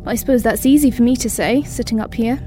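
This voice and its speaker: An actress playing a precise, caring scientist astronaut, talking in a sci-fi audio drama. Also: female voice, fairly high pitch, quick pace, music in the background, lightly acted. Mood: calm, caring.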